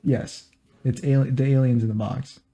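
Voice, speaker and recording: A man with a mellow, relaxed voice at an average pitch hosts a casual podcast. He chats in a joking, teasing way and makes his points with mock earnestness. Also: normal speed. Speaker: podcast host. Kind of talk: casual comedic chat.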